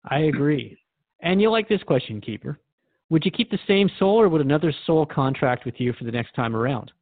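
A man's voice: fairly high pitch, lively intonation, fairly quick, no echo, narrow, tinny audio. A man hosting a scripted radio drama interview, asking frank, questioning things of his guest.

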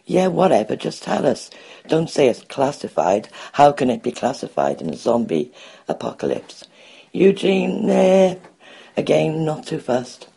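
A woman's voice: low-pitched, sing-song, unhurried, creaky, mellow, husky. A woman from the UK leaving a blunt, playful voicemail for a podcast. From United Kingdom. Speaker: voicemail caller. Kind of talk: recorded voicemail review.

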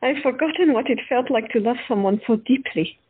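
A woman's voice: on the high side, fairly quick, husky. A woman playing a frank, teasing doctor in love, speaking candidly in an audio drama. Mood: teasing, affectionate. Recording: tinny phone-like sound.

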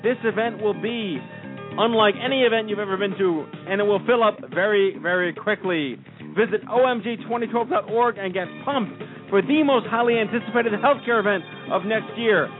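A man with a high voice for his sex reads promos and chats with his guest in a hyped, playful way. The sound is thin and phone-like, and music plays clearly behind him. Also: normal speed. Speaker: co-host. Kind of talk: radio show hosting and news reading.

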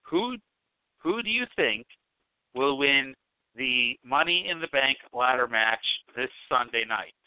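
A man's voice: medium pitch, lively intonation, takes it slowly, clean tone, dry recording. A man hosting a phone-in podcast, chatting casually and teasing his caller with dry humor. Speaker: podcast host. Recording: dry tinny phone-like sound.

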